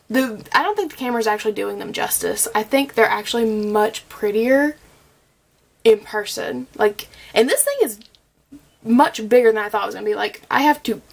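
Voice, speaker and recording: A woman's voice: on the high side, creaky. A young woman vlogger with a slight cold, chatting and gushing through a casual makeup review, with plenty of ums and likes. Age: young adult. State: has a cold, a bit scratchy. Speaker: beauty vlogger.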